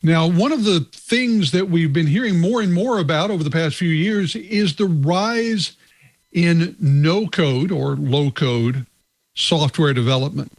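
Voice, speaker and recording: A man hosting a tech podcast, introducing his guest and asking questions in a measured, deliberate way. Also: fairly high pitch. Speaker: podcast host.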